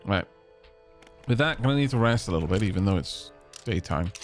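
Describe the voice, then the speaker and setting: A man's voice: on the low side, expressive, brisk, soft background music. Wry man streaming a game. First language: Welsh. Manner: chatty, wry, talks to chat. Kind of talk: casual gameplay commentary.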